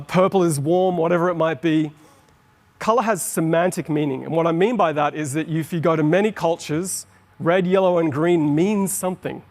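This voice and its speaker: Aussie guy presenting at a conference, kinda high voice, explains stuff eagerly, big echoey hall. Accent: Australian. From Australia. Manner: explanatory, enthusiastic, quickens on asides. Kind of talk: keynote presentation. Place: large conference hall on stage. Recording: long hall reverb.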